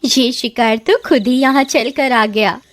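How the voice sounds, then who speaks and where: A woman playing a sly, high-pitched flirty witch. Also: fairly even intonation, a little hiss or hum, close to an everyday voice. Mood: gleeful, luring. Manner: coaxing, teasing, drawn-out calls. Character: sly flirtatious female witch luring men into a well.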